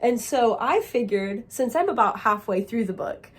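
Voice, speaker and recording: A young woman chatting excitedly to camera as a book vlogger, sounding a little nasal from a cold. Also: fairly high pitch, normal speed. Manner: excited, chatty, talks to viewers as friends. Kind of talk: casual chat to camera.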